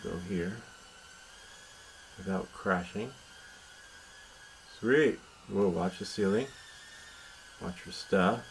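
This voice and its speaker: A man's voice: low-pitched, extremely slow, gravelly, pauses often, poor audio. A man giving casual, rambling live commentary as host of an indoor hobby how-to vlog, joking playfully at his own expense and muttering to himself. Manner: playful, self-deprecating, joking. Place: indoors in a house. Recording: constant background noise in room.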